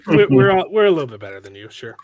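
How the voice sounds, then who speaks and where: A man hosting a casual podcast, hoarse from a cold, rambling with restarts and joking asides. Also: on the high side, big pitch swings, fast, crowd noise. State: hoarse, losing his voice from a cold. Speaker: podcast host.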